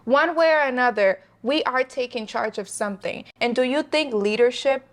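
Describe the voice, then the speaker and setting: A woman's voice: a little high, moderate pace. Upbeat, encouraging woman host. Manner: upbeat, encouraging, frequent affirming interjections. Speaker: show host. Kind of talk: interview conversation.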